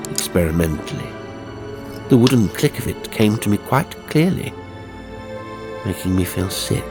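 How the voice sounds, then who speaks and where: A man reading a horror audiobook as narrator, with deliberate, suspenseful, dramatic storytelling. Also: low-pitched. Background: music and sound effects.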